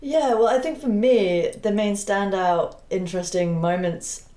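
A woman's voice: medium pitch, a little slow, warm tone. A woman chatting casually as a reporter on a panel, recounting her impressions. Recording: echoey, roomy sound.

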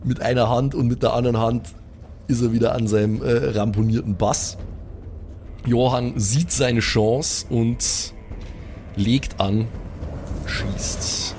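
A man's voice: mid-range voice, fairly even intonation, takes it slowly, long pauses, a little mellow, faint music behind. A man with a Bavarian accent improvising colloquial, vivid narration as the game master of a tabletop game.

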